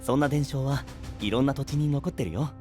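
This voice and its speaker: A man's voice: mid-range voice, a little fast, darkish tone, close to an everyday voice. A man voicing an easygoing, kindly, thoughtful young knight in an anime-style voice drama, with a friendly, reflective delivery. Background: background music.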